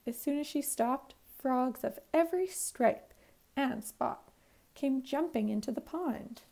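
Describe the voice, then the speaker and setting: Woman, slightly high voice, a bit of creak, expressive children's read-aloud. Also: takes it slowly. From Canada. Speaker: primary school teacher reading aloud.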